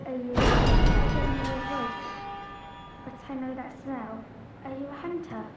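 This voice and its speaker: A woman's voice: high voice, steady tone, slow pace, over loud music. A frightened little girl. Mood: scared pleading. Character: small frightened girl, lonely and pleading. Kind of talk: video game character dialogue. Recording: distant echoey game audio with noise.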